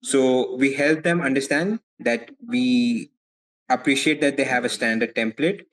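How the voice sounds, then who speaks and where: A young man from India with an Indian accent, answering interview questions as a legal advisor in a measured way, often repeating words as he thinks. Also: mid-range voice, a bit bright, low-quality recording. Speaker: foreign legal advisor, guest.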